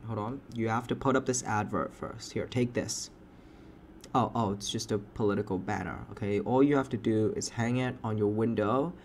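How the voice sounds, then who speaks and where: A man's voice: on the low side, vocal fry, darkish tone, slight background noise. A man playing a smug, cruel landlord in a satirical roleplay monologue, taunting and condescending, with mocking interjections. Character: smug, cruel landlord sneering at a struggling tenant.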